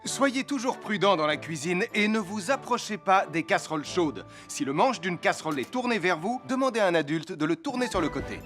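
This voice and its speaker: Kids' cartoon fireman: high voice, responsible, reassuring teacher, over prominent music. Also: expressive, brisk, few pauses. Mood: instructive, reassuring. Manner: didactic, reassuring, clearly articulated. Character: friendly, responsible adult male cartoon firefighter. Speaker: firefighter character giving safety advice. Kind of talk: French-dubbed children's cartoon safety lesson.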